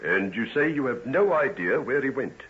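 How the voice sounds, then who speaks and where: An actor playing a polite but persistent Victorian police inspector in an old-time radio drama. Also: male voice, medium pitch, sing-song, normal speed, fairly bright tone. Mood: methodical, persistent. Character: probing, methodical male police inspector of Victorian London. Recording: dull vintage radio recording.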